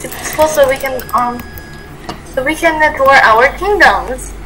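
High excitable female gamer over noise. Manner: excitable, repeats words rapidly. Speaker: gamer playing a role-play game. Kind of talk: casual gameplay chat. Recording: clearly noisy home recording.

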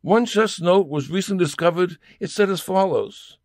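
A man's voice: high-pitched, moderate pace, a little mellow, dry recording, clean studio sound. A man reading his own scripted comic essay in a wry, mock-serious manner.

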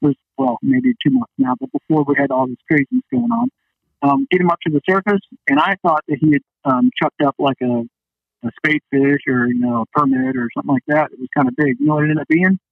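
A man's voice: on the high side, fast, vocal fry, no room sound. A man chatting casually as a guest fishing captain over the phone, folksy and full of "you know". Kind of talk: casual storytelling interview chat. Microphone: phone call. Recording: dull band-limited phone line.

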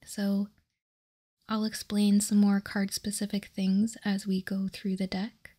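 A woman softly whispering ASMR narration close to the mic, calmly and methodically explaining as a host. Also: medium pitch. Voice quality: soft, breathy whisper. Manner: calm, measured explaining. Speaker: host of a card game ASMR channel.

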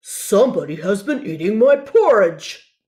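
Woman putting on a loud roaring papa bear. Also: mid-range voice, sing-song, measured pace. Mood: roaring outrage. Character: big gruff father bear, roaring in outrage.

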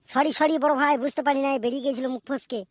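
Performer voicing a high, fast, cheeky cartoon sidekick. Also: fairly even intonation, dry recording, studio quality, lightly acted. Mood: apologetic, hurried. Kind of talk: slapstick comedy cartoon dialogue.

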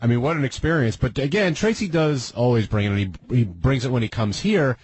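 A man's voice: mid-range voice, lively intonation, a little fast, darkish tone, slight background noise, poor audio. A man hosting a radio talk show, giving conversational commentary and putting questions to his colleagues. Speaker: radio wrap-up show host.

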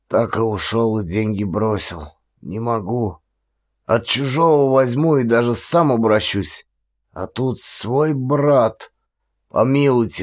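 An actor voicing a humiliated, sorrowful old man in an audiobook, hoarse and halting, with a plaintive, deferential way of talking. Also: mid-range voice, a little mellow, close to an everyday voice. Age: older. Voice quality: husky, hoarse half-whisper.

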